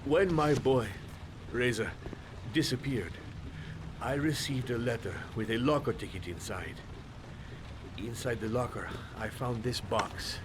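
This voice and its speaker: An actor playing a grieving shopkeeper and father who speaks in a formal, halting, earnest way. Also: mid-range voice, background noise, close to an everyday voice. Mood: sorrowful earnest. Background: game music.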